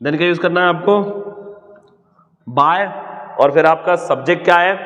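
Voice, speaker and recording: An Indian man gives a grammar lesson in a fairly high voice. He is didactic, posing questions and then answering them himself. The recording is dull and lo-fi, with long room reverb around the voice. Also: moderate pace, fairly bright tone. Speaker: English grammar teacher. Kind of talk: classroom-style grammar lesson. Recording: echoey room, dull lo-fi sound.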